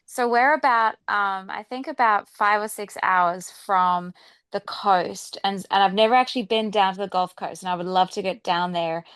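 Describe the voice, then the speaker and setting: A woman with an Australian accent chatting casually as an interview guest, often softening points with filler phrases like "kind of". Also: mid-range voice, normal speed, smooth, no room sound. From Sydney, Australia. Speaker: guest singer-songwriter.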